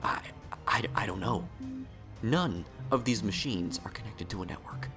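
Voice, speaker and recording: A man's voice: fairly low pitch, moderate pace, over music, close to an everyday voice. A man playing an anxious research scientist in an audio drama, hesitant, urgent and stammering. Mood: urgent, baffled.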